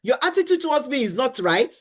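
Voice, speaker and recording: A woman's voice: high voice, very animated, fast talker. A middle-aged woman on a faith-based talk show, teaching about marriage. She talks in an earnest, emphatic way, repeats her key phrases, and acts out what spouses say.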